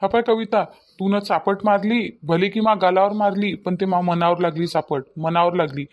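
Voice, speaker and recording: High-voiced cocky village loafer played by a man. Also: fairly even intonation, normal speed, dull audio, lightly acted. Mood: wounded, threatening. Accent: Varhadi Marathi from Vidarbha. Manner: boastful, wheedling, insistent. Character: cocky, pestering young male village loafer on a motorbike. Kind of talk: cartoon comedy dialogue.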